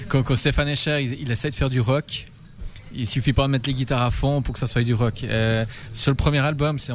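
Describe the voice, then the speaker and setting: A man, the band's lyricist, answering interview questions with many halting restarts. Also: mid-range voice. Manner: hesitant, many stammered restarts. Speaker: rock band lyricist.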